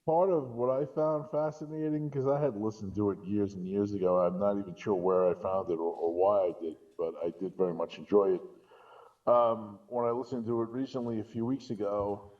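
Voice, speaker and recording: A man's voice: fairly low pitch, fairly even intonation, fairly quick, muffled recording. A man in a casual podcast discussion, telling things in a rambling way with repeated false starts. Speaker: podcast guest co-commentator.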